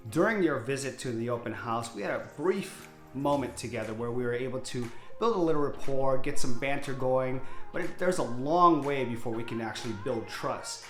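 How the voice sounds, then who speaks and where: A man's voice: medium pitch, normal speed, few pauses, a bit bright, roomy sound, faint music behind. A man in his fifties from Hawaii, introducing himself to camera as a real estate agent in a candid, upbeat way. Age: 50s. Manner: candid, talkative, upbeat salesman. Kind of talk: direct-to-camera self-introduction.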